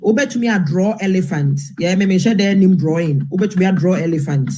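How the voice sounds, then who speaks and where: A Ghanaian man giving informal political commentary with a husky voice, repeating phrases for emphasis. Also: high-pitched, brisk, mellow, a little hiss or hum. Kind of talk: informal political commentary, mixing Twi and English.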